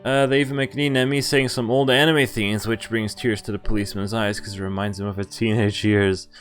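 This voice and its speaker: A man's voice: fairly low pitch, level tone, a little fast. A young man streaming a visual novel, reading its text aloud with casual, amused commentary over game music. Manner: casual, sweary, amused reactions.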